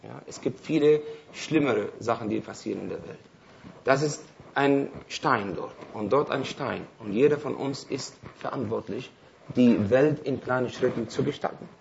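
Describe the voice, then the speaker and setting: A man from Palestine whose first language is Arabic speaks German with a gravelly edge, answering the audience haltingly and full of fillers. The recording is dull and carries a faint hiss. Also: medium pitch, darkish tone. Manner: hesitant, many fillers and restarts. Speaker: invited speaker.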